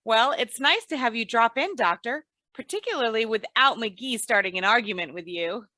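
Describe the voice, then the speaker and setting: Woman playing a bright, high-voiced radio-comedy housewife. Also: expressive, moderate pace, dry recording, studio quality. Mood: gently teasing. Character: patient, sensible, gently teasing housewife. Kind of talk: old-time radio comedy acting.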